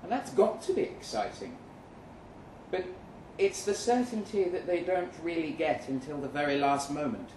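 A man performing a live short-story reading as a weary, jaded agent, speaking deliberately with dramatic pauses. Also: on the high side, sing-song, a little mellow. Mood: grave, reflective. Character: weary, jaded male agent narrating his own story. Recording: roomy live venue, some noise.

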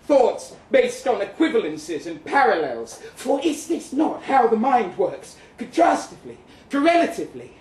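A man's voice: very high voice, sing-song, moderate pace, hardly any pauses, darkish tone. A man performing a live dramatic reading as a bitter, witty crippled young Russian ex-soldier, in a theatrical, florid, wry manner. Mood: wry, musing. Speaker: performer reading a short story aloud. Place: live event venue in London, indoors. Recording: roomy, distant live recording with noise.

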